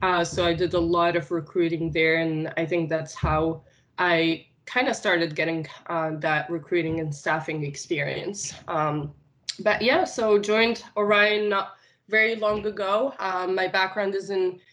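A woman from Tunisia whose first language is Arabic, answering interview questions in a casual, unhurried way with many filler sounds. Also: fairly low pitch, fairly even intonation, a bit bright. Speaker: finance recruiter, podcast guest.

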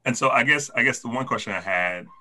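A man's voice: medium pitch, quick pace, sharp tone. A man hosting a podcast interview, asking long rambling questions that he repeats and restarts. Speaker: podcast host.

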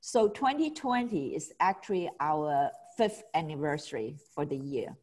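A woman's voice: low-pitched, animated, normal speed, darkish tone. Woman from Hong Kong, festival founder. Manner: enthusiastic, frequent restarts and laughs. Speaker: film festival founder, guest. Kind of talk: casual interview talk.

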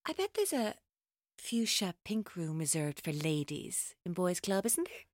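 A woman's voice: lowish voice, very animated, unhurried, no echo, clean studio sound. An actress playing a menacing, taunting woman captor in an audio drama, mocking and theatrical, shifting between playful and bitter. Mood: bitter, scornful. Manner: theatrical, mocking, mercurial, intense.

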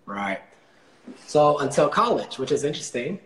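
A young man from Texas hosting a casual interview, relaxed and hesitant, with many fillers. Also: fairly high pitch, moderate pace, echoey room, soft background music, poor audio. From Dallas, Texas. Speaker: podcast host.

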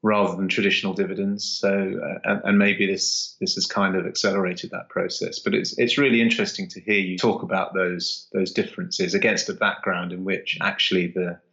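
Lo-fi low-voiced man hosting an interview. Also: flat delivery, fairly quick, creaky, hardly any pauses, fairly bright tone. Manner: hesitant, repeats words, affirming. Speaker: interview host. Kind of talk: conversational market interview. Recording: dull lo-fi audio.

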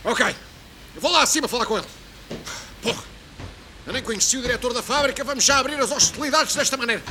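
A man playing a boastful, foul-mouthed immigrant factory worker in a comedy sketch, excitable and bombastic, with a European Portuguese accent. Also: very high-pitched, fast talker, noisy background. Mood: nervous, indignant. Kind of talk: exaggerated comedy sketch acting.

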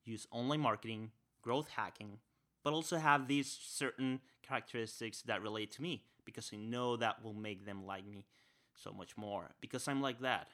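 A young man with a Spanish-tinged accent hosts a podcast. His voice sits low yet rings bright and forceful. He talks with energy, repeating his main points and checking in with "right?". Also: moderate pace. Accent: Spanish-speaker's accent. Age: young adult. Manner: energetic, repeats key phrases, says "right?" often. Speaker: podcast host. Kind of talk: podcast interview and solo wrap-up.

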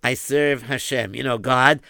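A man's voice: medium pitch, steady tone, measured pace, vocal fry, sharp tone, no echo, clean studio sound. A man voicing a humble young religious student who chants in a sing-song and answers with hesitant stammers. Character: humble young male yeshiva student, devout singer.

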